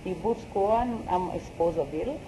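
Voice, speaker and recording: A woman's voice: on the low side, moderate pace, noisy background. A middle-aged woman recalling her family history in an interview, explaining things carefully and hedging as she reasons aloud. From Brazil. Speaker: interviewee, daughter.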